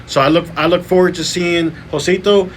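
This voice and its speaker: A man's voice: high voice, steady tone, brisk, a little hiss or hum. Casual man hosting a podcast. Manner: casual, runs through fight lineups and picks. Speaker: podcast host. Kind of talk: casual boxing podcast talk.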